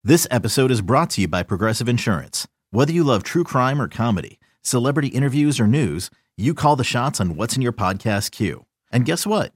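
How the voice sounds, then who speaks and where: A man's voice: mid-range voice, expressive, fairly quick, hardly any pauses, husky, no room sound. Guy doing scripted ad read, normal midrange voice, dry and close, conversational. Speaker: advertisement announcer.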